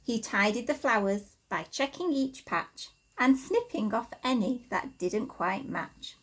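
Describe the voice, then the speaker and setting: A woman, an infant school teacher, reading a rhyming storybook expressively to children with deliberate, sing-song emphasis. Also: fairly high pitch, expressive, a bit bright.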